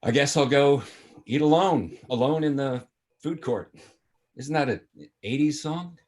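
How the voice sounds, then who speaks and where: A man's voice: medium pitch, unhurried, darkish tone, lightly acted. A man playing a breezy, shameless womanizing father of a grown son in a sitcom table read. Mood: casual. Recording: video call table read.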